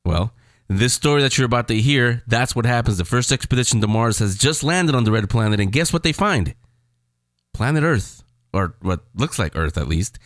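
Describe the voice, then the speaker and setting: A man's voice: mid-range voice, lively intonation, moderate pace, a bit bright, no echo, clean studio sound. A man hosting a podcast, introducing things in a casual, conversational way. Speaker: podcast host.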